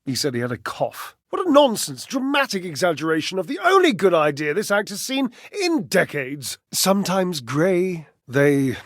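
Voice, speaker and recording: High-pitched, flamboyant, vain clothes dryer. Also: male voice, big pitch swings, normal speed, few pauses, dry recording, studio quality. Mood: flustered, exasperated. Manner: dramatic, breathy sighs and flustered stammering. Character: vain, flamboyant, sensitive male clothes dryer, a stage performer. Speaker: voice actor. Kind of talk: theatrical video game character acting.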